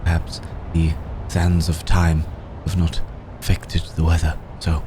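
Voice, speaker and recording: Over clear storm ambience comes a humble, devoted male servant acted by a man in an audio roleplay. His voice is very low, and he chooses formal, deferential words haltingly, at a very slow pace. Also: completely flat delivery, background noise. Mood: subdued, reverent. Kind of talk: ASMR audio roleplay drama.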